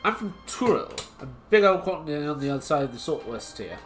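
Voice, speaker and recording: A streamer voicing a rough male monster hunter in an Australian accent, with a folksy, slangy drawl. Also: medium pitch, big pitch swings, brisk, soft background music, lightly acted. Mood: easygoing. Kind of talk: reading game dialogue aloud on stream. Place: home streaming setup.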